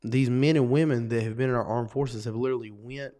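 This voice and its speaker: A man's voice: mid-range voice, steady tone, fast, dark tone. A young man from North Carolina with a Western North Carolina accent, co-hosting a casual podcast chat and talking earnestly in long rambling runs. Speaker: co-host.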